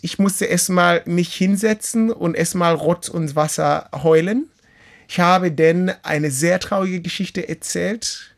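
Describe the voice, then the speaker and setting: A middle-aged man from Kenya, a guest in an interview, telling his life story in an earnest, measured and reflective way. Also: high voice, creaky. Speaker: interview guest, author and teacher.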